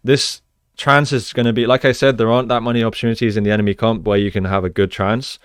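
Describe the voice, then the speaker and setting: A man's voice: mid-range voice, steady tone, fast talker, clean tone, dry recording, clean studio sound. A man giving conversational, explanatory commentary on video game play, as a coach breaking down each move. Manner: explanatory, conversational, often checks with "right?". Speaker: gaming coach, analyst.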